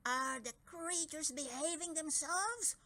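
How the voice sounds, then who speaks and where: A woman's voice: high voice, slow, pushed and bright, pitched above the performer's own voice, full character voice. A woman voicing a mild, doubtful, short-sighted old dragon king in a children's storybook, pondering and humming as he talks. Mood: contented.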